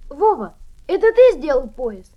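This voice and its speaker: A woman reading textbook dialogue lines in clear, plain model speech. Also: high voice, sing-song, a little slow, highs cut off.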